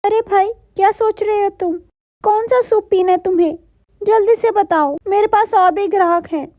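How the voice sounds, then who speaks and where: A woman voicing a brisk, sociable female bird who sells soup in a cartoon, chirpy and polite. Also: very high-pitched, mellow, dry recording, clean studio sound, pitched above the performer's own voice.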